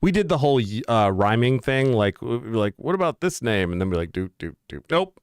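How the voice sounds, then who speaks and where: A man's voice: medium pitch, sing-song, fairly quick, clear, resonant tone, no echo, clean studio sound. A man in his thirties co-hosting a casual chat, joking and laughing often. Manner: jokey, laughs often, drifts into movie quotes. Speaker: podcast co-host.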